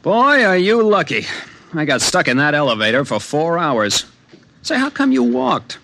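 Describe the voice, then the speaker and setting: A chummy young friend with a cold in a vintage radio drama. He cracks jokes in a bright, forward voice. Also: fairly high pitch, big pitch swings, moderate pace, gravelly, no room sound, heavily acted. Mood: joking. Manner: jokey, chummy. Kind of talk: 1950s radio drama acting.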